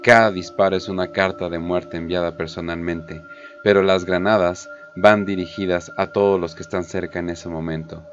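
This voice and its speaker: Deep male story narrator over music. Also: level tone, moderate pace, dull audio. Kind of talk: scripted dramatic story narration. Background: prominent background music.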